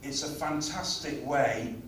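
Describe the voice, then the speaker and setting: Guy talking at a teaching conference, chatty and lively, mic far off, big echoey hall. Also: mid-range voice, slow pace, a little hiss or hum. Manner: conversational, lively lecturing. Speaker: conference speaker on language teaching. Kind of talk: conference talk.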